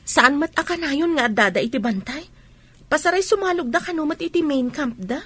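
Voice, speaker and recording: A woman's voice: sits high, animated, moderate pace, fairly bright tone. A woman playing a curious, nosy friend in a comic radio drama, asking question after question.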